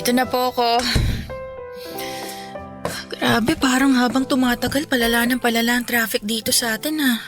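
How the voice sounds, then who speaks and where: Woman acting a high-voiced, polite young Filipino daughter. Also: moderate pace, long pauses, over music, natural voice. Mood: exasperated. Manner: expressive, polite, affectionate. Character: respectful, worried young working daughter. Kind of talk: Filipino radio drama acting.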